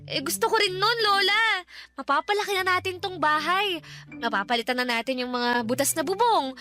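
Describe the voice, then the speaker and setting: Young Filipino granddaughter for radio drama: respectful, hopeful, hesitant, very high-pitched voice. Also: female voice, animated, normal speed, sharp tone, soft background music, full character voice. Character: respectful eighteen-year-old granddaughter, earnest, hopeful, slightly hesitant.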